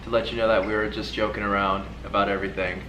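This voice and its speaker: A young man chatting casually on a phone call in a slangy, halting way. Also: fairly low pitch, level tone. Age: young adult. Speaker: prank video co-host. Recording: echoey room, music underneath.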